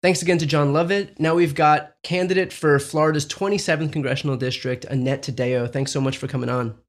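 A young adult man hosting a political podcast, asking his guests questions in a measured, conversational way. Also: on the high side, steady tone. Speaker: podcast host. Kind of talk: interview questions.

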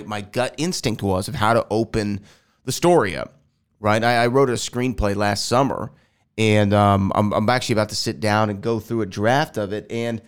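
A man hosting a solo podcast, rambling and correcting himself as he goes, with a nasal voice because he is getting over a sinus infection. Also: medium pitch. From Houston, Texas. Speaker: podcast host. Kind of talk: casual solo podcast talk. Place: air-conditioned house.

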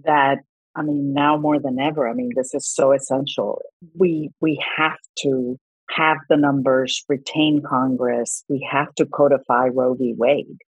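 Low husky-voiced woman candidate, from Colombia. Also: steady tone, unhurried, no echo, rough recording. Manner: earnest, repeats words when restarting. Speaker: congressional candidate. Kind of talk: political interview.

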